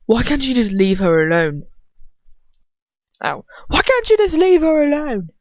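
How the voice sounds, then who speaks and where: A woman voicing a fierce, protective, hot-headed teenage boy in a storybook reading, loud and strained as he shouts. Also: high voice, very animated, unhurried, dry recording, lo-fi sound, voice pushed up, heavily acted. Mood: furious.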